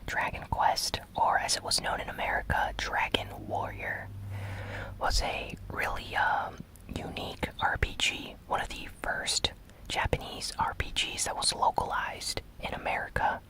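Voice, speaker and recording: An ASMR host giving a gaming news recap in a breathy, unvoiced whisper with soft, deliberate pauses.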